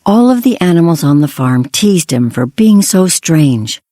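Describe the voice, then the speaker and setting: A woman's voice: low voice, sing-song, unhurried, warm tone, dry recording, clean studio sound. A woman reading a scripted fairy tale as a children's story narrator.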